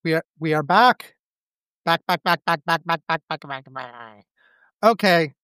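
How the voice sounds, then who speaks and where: A man co-hosting a casual podcast chat, speaking with a scratchy throat and stuffy head, rambling and halting. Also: fairly high pitch, expressive, pushed and bright, clear, resonant tone, no room sound, studio quality, faint music behind. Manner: rambling, halting, self-deprecating. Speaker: podcast co-host.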